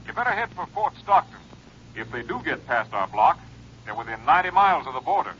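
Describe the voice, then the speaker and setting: Brisk captain, lightly pitched, reporting by phone, muffled vintage radio recording. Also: male voice, very animated. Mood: brisk, businesslike. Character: brisk, businesslike male police captain reporting by telephone. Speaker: supporting radio actor. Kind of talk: 1950s radio drama acting. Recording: noisy, dull old radio phone-call recording.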